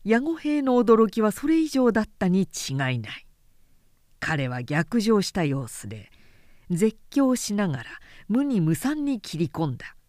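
Expressive, dry-recorded woman narrator. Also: medium pitch, no echo. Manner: measured, dramatic storytelling. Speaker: narrator, announcer. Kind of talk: literary audiobook narration of a period story.

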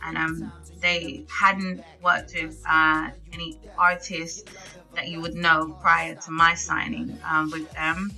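A woman's voice: low-pitched, level tone, takes it slowly, gravelly, sharp tone, faint music behind, poor audio. A young woman, a singer chatting casually as an interview guest, with lots of fillers and laughs. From England. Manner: chatty, laughs often, many fillers and restarts.

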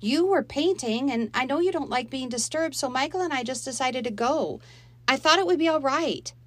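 A woman's voice: sits high, lively intonation, moderate pace, a bit bright, dry recording, voice pushed up. A woman voicing a young girl who defends herself earnestly to her parents, in a storybook reading. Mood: defensive, pleading.

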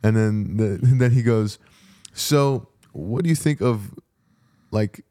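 A man's voice: on the low side, takes it slowly. A man in a casual chat, telling stories in slangy speech and acting out exchanges as a podcast co-host. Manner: animated storytelling, acting out quoted exchanges.

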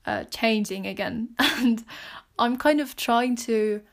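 A woman's voice: a little high, slow pace. Chatty British-accented woman podcaster. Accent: British English. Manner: chatty and rambling, with frequent fillers and soft laughs. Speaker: podcast host. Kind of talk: casual solo podcast talk.

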